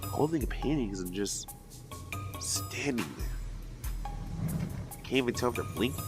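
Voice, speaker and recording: Woman acting a wisecracking teen vigilante, low voice, wildly animated, wry, audio drama, loud music behind. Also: unhurried. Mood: uneasy, hushed. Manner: wry, animated, self-deprecating. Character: teenage student secretly working as a wisecracking masked vigilante.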